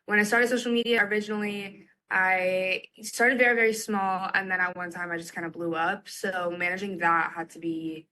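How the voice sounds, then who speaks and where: A woman's voice: medium pitch, steady tone, moderate pace, hardly any pauses, pushed and bright, rough recording. A woman answering interview questions in casual chat, as a content creator guest. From United States and Egypt.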